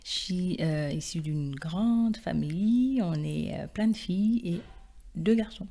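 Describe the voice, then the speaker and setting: A middle-aged woman from the Democratic Republic of the Congo telling her own story conversationally, animated and often searching for her words. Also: fairly low pitch, slow pace, vocal fry. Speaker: interview guest, independent export consultant. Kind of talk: personal testimony, conversational storytelling.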